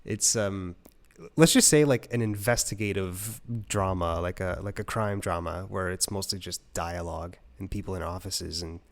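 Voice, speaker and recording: Guy with a fairly low voice, casual review chat, conversational and explainy. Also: normal speed. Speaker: audiobook review show co-host.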